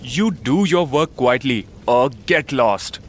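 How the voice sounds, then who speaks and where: A man's voice: medium pitch, expressive, slow pace. A man playing a miserly, cheating village shopkeeper who bosses people around in a children's cartoon. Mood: bossy. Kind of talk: animated children's story acting.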